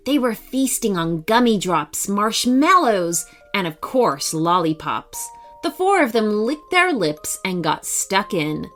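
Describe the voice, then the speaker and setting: Woman storyteller, children's bedtime narration, slow pace, animated pitch, expressive, soft music underneath. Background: faint music.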